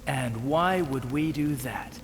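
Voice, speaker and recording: A man's voice: medium pitch, takes it slowly, slight background noise, close to an everyday voice. An actor playing an earnest, cautious male fantasy adventurer in a video game, speaking with concern. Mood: wary.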